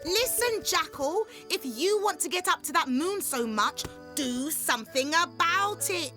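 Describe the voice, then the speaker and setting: A woman's voice: extremely high pitch, expressive, measured pace, pushed and bright, soft background music, full character voice. A woman voicing a bossy, scheming spider in a children's cartoon, with an exclamatory, exasperated manner.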